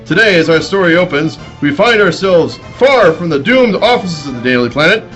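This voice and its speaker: A man's voice: a little high, lively intonation, normal speed, fairly bright tone, soft background music. A man narrating dramatically in the style of a 1940s radio serial.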